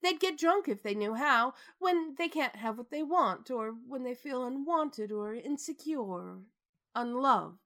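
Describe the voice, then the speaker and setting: An actress playing a temperamental, insecure theatre star in a radio drama, dramatic and self-mocking. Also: female voice, high-pitched, animated, unhurried, a bit bright, exaggerated voice. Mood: rueful, self-mocking.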